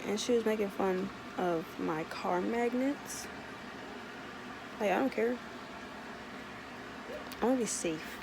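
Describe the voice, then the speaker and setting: A woman's voice: mid-range voice, takes it slowly, vocal fry, long pauses, background noise. A chatty young woman from Maryland with a light Baltimore accent, rambling sassily through a casual storytime. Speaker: vlogger.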